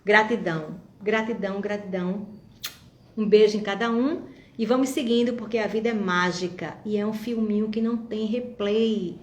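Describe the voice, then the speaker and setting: A woman's voice: medium pitch, moderate pace, reverberant. A woman in her fifties giving an encouraging, reflective talk straight to her viewers. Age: 50s. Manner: warm, encouraging, reflective, addresses viewers directly. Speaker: vlogger. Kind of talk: motivational monologue to viewers.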